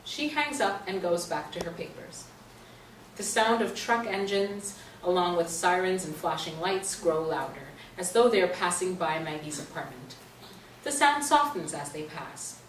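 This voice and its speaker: A woman's voice: fairly low pitch, animated, unhurried. A woman narrator reading stage directions in a scripted play reading. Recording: roomy stage, distant mic, faint noise.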